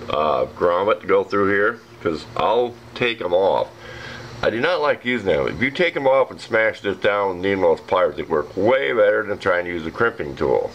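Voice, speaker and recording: American male, deep rough voice, folksy unhurried DIY demo, slightly noisy home-video sound. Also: a bit bright. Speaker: hobbyist demonstrating a homemade project. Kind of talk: casual explanatory demo. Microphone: handheld camera, speaker behind it.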